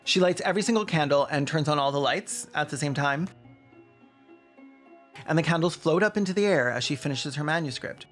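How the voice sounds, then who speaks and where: A man's voice: a little high, a little fast, a bit bright, faint music behind. A man narrating scripted comedic commentary in a wry, sarcastic manner. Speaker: video essay narrator.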